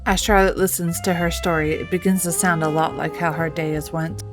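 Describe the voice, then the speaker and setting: A woman's voice: deep voice, fairly even intonation, fairly quick, music in the background. A woman reading scripted narration as the storyteller of a fan-written game quest.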